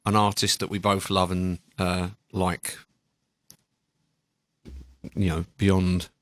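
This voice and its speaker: Male radio presenter, lowish pitch, dry close studio sound, loose conversational music intros. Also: flat delivery, takes it slowly, no room sound. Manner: rambling, conversational. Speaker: radio show host. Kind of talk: casual radio chat introducing new music. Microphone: close studio microphone.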